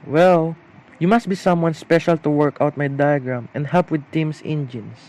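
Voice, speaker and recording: Higher-voiced tinkering dad played by a man. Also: steady tone, normal speed, darkish tone, natural voice, rough recording. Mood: impressed. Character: family father, grumbling but appreciative tinkerer. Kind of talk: amateur English-class radio play.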